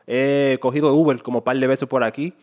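A man chatting casually, a learner of Spanish with a relaxed, chatty manner who mixes in English slang. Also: mid-range voice, fairly quick. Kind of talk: casual chat. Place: Miami.

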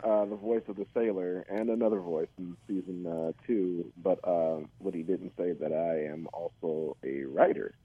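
A man from California phones in to a radio show. His voice is low and comes over a dull, dry phone line. He sounds eager and excited, and he talks casually with many small pauses. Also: husky. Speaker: caller.

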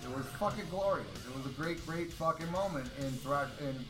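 Loud brash man, podcast co-host, profane boasting, slightly high voice, roomy sound, music playing behind. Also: a little fast. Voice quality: very loud, powerful voice. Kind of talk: casual podcast chat.

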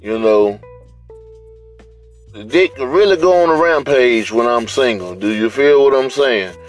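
Male podcast host, Southern US accent, unhurried mid-pitched delivery, blunt street slang, light music bed. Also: animated. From US South, Atlanta area. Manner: blunt, slangy, heavy swearing. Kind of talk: casual unscripted monologue.

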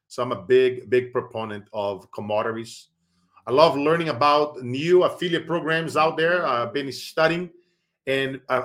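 Brazilian-accented man giving a motivational pitch. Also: a bit bright. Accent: Brazilian Portuguese. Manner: persuasive, preachy, casual with many fillers. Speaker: podcast host. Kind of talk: motivational podcast monologue with sponsor plugs.

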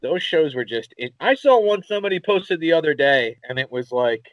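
A man with a Philadelphia accent, co-hosting a podcast in casual chat, telling rambling, animated stories and swearing casually. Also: on the high side, fairly quick, no room sound, rough recording. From Philadelphia area, USA. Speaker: podcast co-host.

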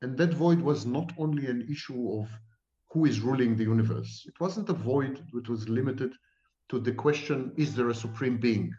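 A man's voice: mid-range voice, normal speed, mellow, reverberant, dull audio. A man from Israel, a professor answering at length in an emphatic, repetitive lecturing manner. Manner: emphatic, repetitive, sweeping declarations. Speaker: psychology professor guest.

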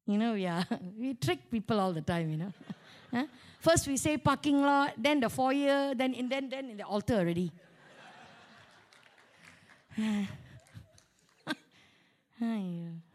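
High-pitched Malaysian woman preacher. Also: slow, pauses often. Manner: animated, colloquial, humorous, with local particles like lah and ah. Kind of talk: storytelling sermon with acted-out dialogue.

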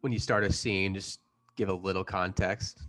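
A man's voice: deep voice, fairly even intonation, moderate pace, a bit bright. A man on a podcast cast, chatting casually with short laid-back replies. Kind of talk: casual chat.

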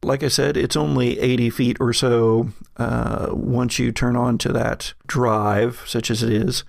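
A man narrating a horror tabletop game as game master, telling it in a halting, descriptive way. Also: mid-range voice, flat delivery, creaky, no echo, clean studio sound.

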